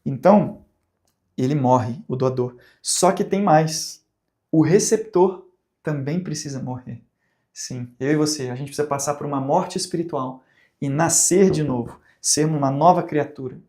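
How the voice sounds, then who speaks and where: Man, Brazilian Portuguese accent, course instructor, rhetorical questions, fairly high voice, warm tone. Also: measured pace. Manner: conversational, asks rhetorical questions, acts out examples. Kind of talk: recorded teaching talk.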